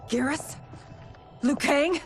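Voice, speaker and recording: A woman's voice: sits high, takes it slowly, soft background music. An actress playing a proud, wistful warrior queen in a video game, speaking formally and earnestly. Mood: bewildered. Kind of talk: video game cutscene acting.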